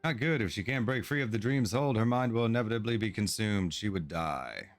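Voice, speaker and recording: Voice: medium pitch, lively intonation, brisk, natural voice. An actor voicing a haughty fae guardian. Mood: concerned. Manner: aloof and theatrical. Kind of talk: game cutscene voice acting.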